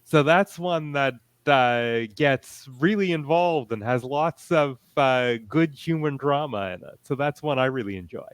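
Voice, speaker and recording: A smooth, clear-voiced man speaks as a fan panelist in casual discussion. He explains carefully and haltingly, pausing to find words, and the sound is very dry. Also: medium pitch, no room sound.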